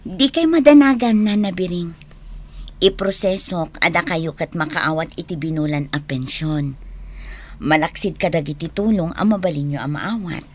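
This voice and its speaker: A woman's voice: on the low side, moderate pace, natural voice. A woman playing a kindly, reassuring young social worker in a radio drama. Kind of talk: radio drama acting in Ilocano.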